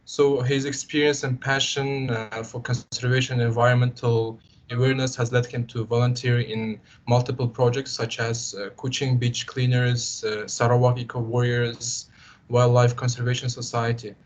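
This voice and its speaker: A man hosting an online event, speaking in a polite, halting, formal way. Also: mid-range voice, level tone. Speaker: event host introducing the speaker. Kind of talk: formal introduction. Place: online video call. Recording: dull lo-fi online call audio.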